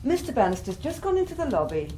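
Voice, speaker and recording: Brisk, wry English secretary. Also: female voice, a little high, sing-song, warm tone, a little hiss or hum. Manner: efficient on calls, sardonic in asides. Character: brisk, wry female secretary in whip's office. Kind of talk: British political radio drama acting.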